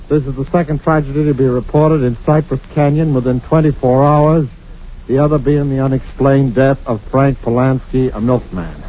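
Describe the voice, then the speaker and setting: Actor as an earnest uneasy man, fairly high voice, urgent conversational tone, muffled old radio sound. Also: lightly acted. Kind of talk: 1940s radio drama acting. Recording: dull lo-fi old radio recording.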